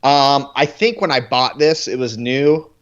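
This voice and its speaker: A joking man in playful podcast banter, his voice slightly muffled by lo-fi audio. He talks casually at a middle pitch. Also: unhurried. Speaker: podcast co-host, photography instructor. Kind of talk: casual live-stream chat.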